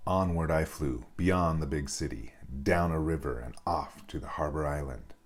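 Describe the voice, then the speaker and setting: A man narrating a children's bedtime story as a reader, with expressive, dramatic storytelling. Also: rumbling low, moderate pace.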